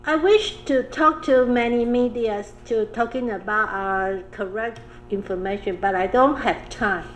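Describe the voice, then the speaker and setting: Higher-voiced woman health official. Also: measured pace, a little hiss or hum, low-quality recording. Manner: animated, laughing asides, non-native phrasing. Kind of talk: roundtable panel discussion.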